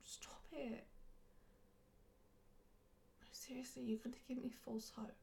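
A woman acting a flustered, slow, whispering woman. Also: on the high side, little pitch movement, dark tone, slight background noise. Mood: reluctant, pleading. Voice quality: breathy whisper. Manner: halting, stammering. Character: flustered, hesitant woman, defensive but quick to yield. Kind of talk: intimate whispered audio roleplay.

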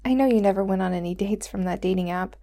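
A woman voicing a doting, possessive young girlfriend who holds her lover captive, talking softly and sweetly in a whispery voice. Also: mid-range voice, fast talker, lightly acted. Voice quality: soft and whispery. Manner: sweet, clingy, coaxing. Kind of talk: roleplay audio drama to the listener.

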